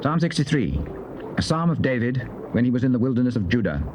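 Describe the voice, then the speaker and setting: A formal male announcer reads out scripture headings. His intonation moves freely up and down, and clear noise sits behind his voice on the old tape. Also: medium pitch, very animated, quick pace. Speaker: announcer of psalm titles.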